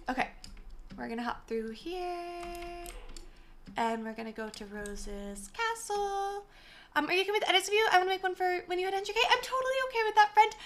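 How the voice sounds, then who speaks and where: A young woman in her twenties chatting casually on a gaming live stream, her voice slightly hoarse and worn, talking from a small room into a mic set far from her with the gain turned up. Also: high voice, fairly bright tone. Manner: chatty, apologetic, greets viewers by name. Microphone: desk mic set far from her, gain turned up.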